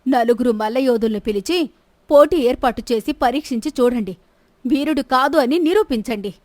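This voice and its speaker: A woman's voice: fairly high pitch, normal speed, a little mellow, dry recording, natural voice. A woman voicing an imperious, indignant queen and protective elder sister in a story drama, stern and commanding. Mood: indignant, challenging. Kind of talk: scripted Telugu moral-story dramatization.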